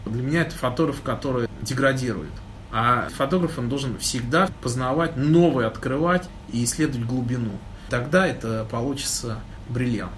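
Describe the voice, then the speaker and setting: Man, amateur photographer, reflective interviewee, sudden emphatic rises, faint noise behind. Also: mid-range voice. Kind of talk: interview at an exhibition. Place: photo exhibition.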